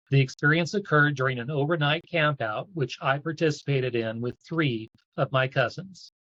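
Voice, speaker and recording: A man hosting an event, reading a written personal account aloud in a measured, earnest way. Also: fairly high pitch, fairly bright tone, no room sound. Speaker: event host reading a submitted story aloud. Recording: dull, lo-fi, dry sound.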